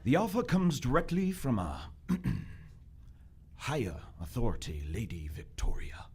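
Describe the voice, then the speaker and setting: Man playing a stuffy, formal gentleman envoy, very animated. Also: medium pitch, measured pace, a little hiss or hum. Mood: formal, insistent. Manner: formal, deferential yet insistent, precise. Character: stuffy, dutiful, unflappable gentleman envoy of Victorian Britain. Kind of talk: live radio theater acting.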